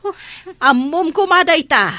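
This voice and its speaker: A woman's voice: very high-pitched, very animated, unhurried, sharp tone, dry recording, full character voice. A woman playing a middle-aged, scolding mother in a radio comedy-drama, nagging and reproachful, with an Ilocano Filipino accent. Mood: exasperated, reproachful. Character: scolding, exasperated older mother of grown children.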